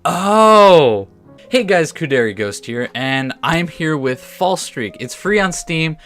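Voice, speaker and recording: A man's voice: fairly high pitch, lively intonation, a little slow, fairly bright tone. A man hosting a gaming video, mixing casual commentary with reading story text aloud and stumbling over the odd word. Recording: music under the voice.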